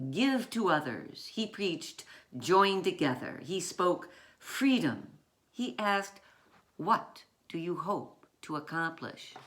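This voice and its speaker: A woman who writes children's books, reading aloud and chatting in a storytime style, with deliberate, emphatic phrasing. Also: deep voice, fairly bright tone. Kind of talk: storytime read-aloud with informal asides.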